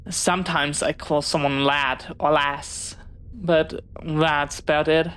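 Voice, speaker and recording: A woman plays a young pirate captain's child in an audio roleplay, with a lowish voice and an unhurried pace. The character is bookish and eager to be friends, chatting in careful, well-spoken phrases with a touch of hesitance. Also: steady tone, fairly bright tone, clear, resonant tone. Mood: curious, friendly. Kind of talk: one-sided audio roleplay acting.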